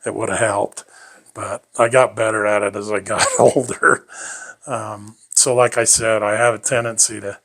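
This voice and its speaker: A man's voice: on the low side, level tone, moderate pace, fairly bright tone. An older man reminiscing about his life in an interview, rambling and folksy, chuckling often.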